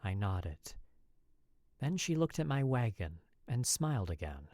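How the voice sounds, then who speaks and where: A man reading a story aloud in the first person, in a slow, measured and expressive way. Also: lowish voice, dry recording, clean studio sound. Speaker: podfic reader.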